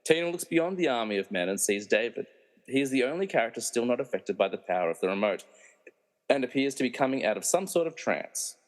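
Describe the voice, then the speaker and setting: Animated, wry man as narrator, big reverb. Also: mid-range voice, quick pace, natural voice. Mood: suspenseful. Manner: wry, theatrical. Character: wry, meddling movie narrator who mocks the characters. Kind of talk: dramatic scripted narration with comic asides. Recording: reverberant hall-like echo.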